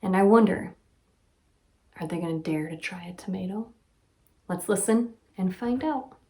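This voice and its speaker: A woman educator guiding children through a storybook read-aloud, teacherly, posing questions and leaving pauses. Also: mid-range voice, darkish tone, husky. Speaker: nutrition educator reading to children.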